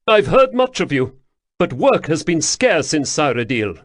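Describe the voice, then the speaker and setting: A man voicing a wary veteran army captain who speaks deferentially and haltingly. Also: fairly high pitch, very animated, voice pushed up.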